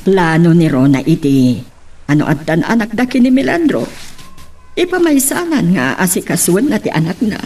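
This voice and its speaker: A mature, gossipy confidante in a radio drama. Her voice is fairly low and moves up and down a lot. She has an Ilocano accent and sounds concerned for the family. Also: sing-song, moderate pace, warm tone, soft background music.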